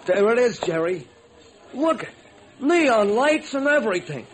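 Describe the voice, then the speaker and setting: An actor playing an eager, gullible, good-hearted ex-crook in an old-time radio drama, with folksy, excitable, slangy speech. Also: male voice, very high voice, a little slow, heavily acted. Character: eager, gullible, good-hearted ex-burglar diner owner, male. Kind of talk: old-time radio comic sidekick acting. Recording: slightly noisy old radio recording.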